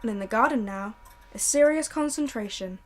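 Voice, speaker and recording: A woman's voice: on the high side, soft background music. A young woman narrating and announcing in a recreated 1940s radio drama. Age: young adult. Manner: measured, storytelling.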